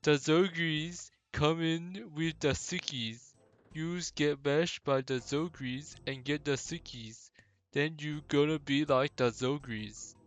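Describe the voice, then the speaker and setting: A man's voice: on the high side, steady tone, voice pushed up. A man voicing a simple-minded, earnest ogre in a video game, warning people in childlike broken English. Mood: wary, warning. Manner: slow, childlike broken grammar. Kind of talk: hobby game voice acting.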